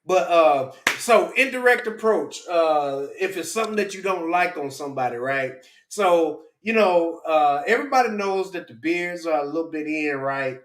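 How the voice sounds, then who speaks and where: A man's voice: on the high side, animated, moderate pace, hardly any pauses, fairly bright tone. A man hosting a casual livestream, chatty and jokey, poking fun at himself. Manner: jokey, self-deprecating, chatty. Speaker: live stream host. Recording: roomy, echoing livestream audio.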